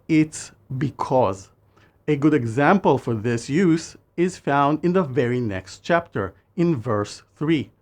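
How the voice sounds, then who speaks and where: A man who speaks natively, narrating a scripted language lesson in a measured way and slowing down for phrases. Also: medium pitch. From Israel. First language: Hebrew. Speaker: language teacher.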